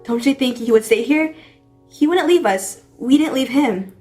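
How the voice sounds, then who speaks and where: A woman playing a loyal, guilt-ridden young girl in an audio drama, soft and sincere. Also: sits high, lively intonation, moderate pace, faint music behind. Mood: resolute.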